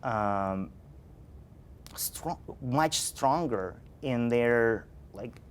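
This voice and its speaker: A man, a guest in an interview, speaking with many pauses while he searches for words. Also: medium pitch, animated, a little hiss or hum. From Ukraine.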